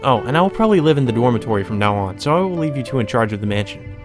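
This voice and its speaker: A man voicing a composed, aloof young heiress in a measured, formal, reserved way. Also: medium pitch, animated, music in the background, close to an everyday voice. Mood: cool, reserved.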